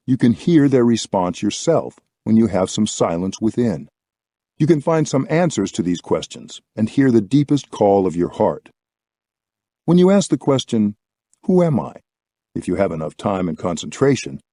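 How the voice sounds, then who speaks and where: A man reading a scripted spiritual audiobook with measured, deliberate phrasing. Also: on the low side, mellow, no room sound, studio quality. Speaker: audiobook reader.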